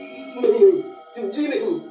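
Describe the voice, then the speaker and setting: A man's voice: extremely high pitch, animated, slow, heavily acted. A man playing a timid, lovestruck, boastful young village simpleton in a story, with whiny, stammering speech. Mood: bashful, boastful. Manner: whiny exclamations, hesitant stammering. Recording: echoey, distant, dull audio with music.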